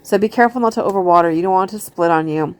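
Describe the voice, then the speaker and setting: Casual woman vlogger with a lowish voice. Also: rapid-fire. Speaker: gardening vlogger showing a seed haul. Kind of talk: casual chat, reading out seed packet notes.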